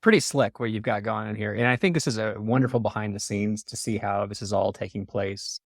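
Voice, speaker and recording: A man's voice: lowish voice, fairly quick, creaky, no room sound, clean studio sound. A man hosting a casual interview in an informal, affirming conversational manner. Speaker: show host interviewing guests.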